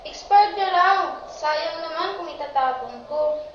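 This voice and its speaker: A woman's voice: very high-pitched, expressive, unhurried. A woman playing a stubborn, impulsive young girl with a petulant delivery in an amateur radio drama. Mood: casual. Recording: very echoey, distant, dull, faintly noisy.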